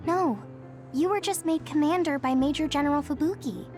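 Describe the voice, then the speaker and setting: A woman's voice: sits high, a little fast, a little mellow, soft background music, natural voice. A woman playing a caring, upbeat young female squad mate in a video game, friendly and direct. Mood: concerned. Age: young adult. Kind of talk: video game cutscene voice acting.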